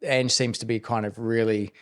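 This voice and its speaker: A man's voice: medium pitch, flat delivery, normal speed, a bit bright. A man hosting a podcast, asking questions in a chatty, loose way with frequent restarts. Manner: chatty, asks questions in loose rambling bursts. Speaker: podcast host. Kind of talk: casual interview questions.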